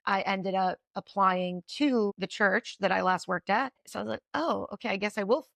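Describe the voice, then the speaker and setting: A woman in her thirties from Connecticut with a New England accent and a raspy voice, talking quickly as a guest in a casual interview. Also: medium pitch, fairly bright tone, no room sound. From Connecticut, USA. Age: 30s. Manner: fast-talking, says "like" often. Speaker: podcast guest.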